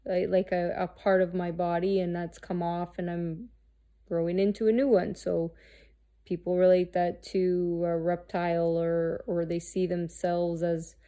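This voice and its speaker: A woman in her thirties, talking candidly and haltingly about herself, restarting often and swearing freely. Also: fairly low pitch, level tone, dark tone. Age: 30s. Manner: halting, searching, with many restarts and fillers. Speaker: host. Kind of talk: candid personal monologue.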